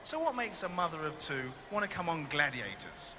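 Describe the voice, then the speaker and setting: A man co-hosting a TV game show in a large indoor arena, interviewing in a brisk, chatty way. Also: a little high, very animated, noisy background. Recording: 1990s TV broadcast in a crowded arena.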